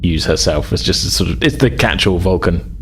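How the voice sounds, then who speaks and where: A man narrating a tabletop game as game master, improvising in a casual, halting way. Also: deep voice, animated, fairly bright tone, a little hiss or hum.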